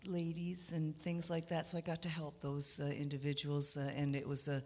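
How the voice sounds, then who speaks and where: A woman from Canada, a country singer, answering interview questions on a radio show in a low voice, with many fillers and restarts. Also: monotone, moderate pace, a little mellow. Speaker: guest musician.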